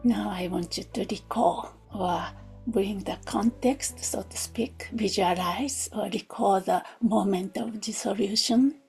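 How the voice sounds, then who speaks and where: Breathy, very slow woman guiding meditation. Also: medium pitch. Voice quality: soft, breathy, often near a whisper. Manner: gentle, unhurried, hushed with long pauses. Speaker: meditation teacher. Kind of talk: guided meditation. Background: music.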